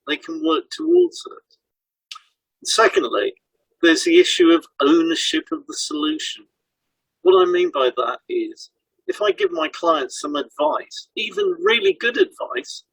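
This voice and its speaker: A man's voice: very high-pitched, creaky, a bit bright, slightly rough, dry recording, poor audio. A man co-hosting a podcast as a hypnotherapist, reading scripted explanations in an instructional way.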